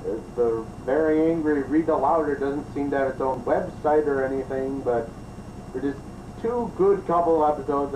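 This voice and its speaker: Echoey, distant male review host. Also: medium pitch. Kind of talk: improvised review commentary. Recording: roomy, distant mic, clearly noisy.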